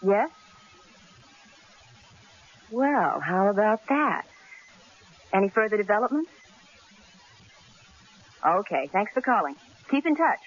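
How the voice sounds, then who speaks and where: An actress playing a pert, inquisitive newspaper secretary in an old-time radio drama, arch and playful. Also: female voice, medium pitch, big pitch swings, slow pace, pauses often, slight background noise. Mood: brisk, informative. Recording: dull, muffled old radio broadcast recording.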